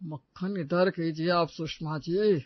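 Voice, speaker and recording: A man acts out an older Indian grandfather in a cartoon family tale. His voice is high for a man, stubborn and full of grumbles. The recording is very dry and a bit muffled. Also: steady tone, slow, dry recording. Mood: grumbling. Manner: complaining, stubborn.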